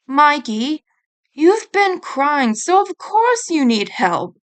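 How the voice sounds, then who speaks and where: A woman's voice: sits high, big pitch swings, takes it slowly, voice pushed up, full character voice. A woman voicing a stubborn, protective teenage male turtle ninja, the blunt older brother, in a fan story reading. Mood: concerned.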